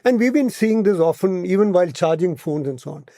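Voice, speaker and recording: Mellow, higher-pitched Indian-accented man. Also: animated, fairly quick, no room sound, clean studio sound. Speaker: podcast guest, policy analyst. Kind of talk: explanatory podcast discussion.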